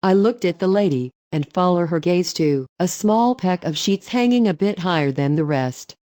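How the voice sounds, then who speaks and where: Mellow-voiced woman reading stories. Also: fairly low pitch, expressive, normal speed, no echo, studio quality. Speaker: narrator. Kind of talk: reading online stories aloud.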